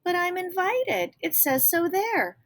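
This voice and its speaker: A woman's voice: extremely high pitch, big pitch swings, takes it slowly, fairly bright tone, voice pushed up, exaggerated voice. A woman voicing a polite, eager young Cinderella girl in a picture-book read-aloud, earnest and sing-song. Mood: pleading, eager.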